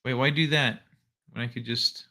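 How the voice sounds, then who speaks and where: A man's voice: medium pitch, steady tone, bright, forceful tone. A man hosting a casual livestream and chatting loosely with viewers, joking and laughing often. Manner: loose and jokey, with frequent laughter and quick asides. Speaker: livestream host.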